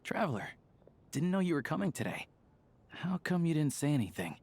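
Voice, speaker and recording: An actor playing an easygoing, dependable young theater worker in a video game, speaking in a plain, informal, steady way. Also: male voice, on the high side, fairly quick, breathy edge, full character voice. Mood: friendly surprise.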